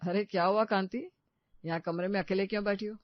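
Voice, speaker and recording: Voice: mid-range voice, fairly even intonation, brisk, no room sound, lo-fi sound, natural voice. A performer voicing a kind, reassuring young husband in a family story. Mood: caring.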